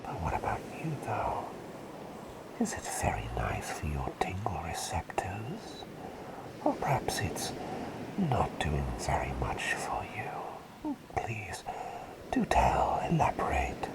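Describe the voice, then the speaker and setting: A man playing an eccentric, scatterbrained but gentle plague doctor in a soft, soothing, rambling whisper for an ASMR roleplay. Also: low-pitched, wildly swooping pitch, takes it slowly, creaky, heavily acted, rough recording. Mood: curious, soothing. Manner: rambling, soothing, softly repeating words. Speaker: ASMR performer.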